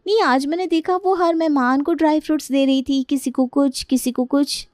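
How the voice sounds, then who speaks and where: A woman's voice: high voice, steady tone, normal speed, darkish tone, no room sound, studio quality, natural voice. A woman playing an outspoken, suspicious young bride in a story, insistent and knowing. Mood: curious.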